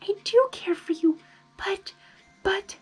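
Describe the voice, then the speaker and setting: A gentle, frightened young female pony, voiced by a woman, speaks in a very high, hesitant voice. The manner is pleading and apologetic, as in a fantasy story read aloud, with music underneath. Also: slow pace.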